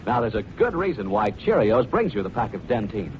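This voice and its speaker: A man's voice: fairly low pitch, very animated, fairly quick. A man announcing an old radio commercial to children, enthusiastic and emphatic. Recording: muffled, noisy old radio recording.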